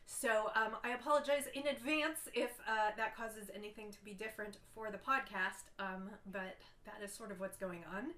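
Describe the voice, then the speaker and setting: A woman's voice: medium pitch, fairly even intonation, moderate pace, few pauses, a bit bright, reverberant. A woman hosting a casual knitting video podcast, stuffy and congested from a cold, chatting along with frequent ums. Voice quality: nasal, stuffy. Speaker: podcast host.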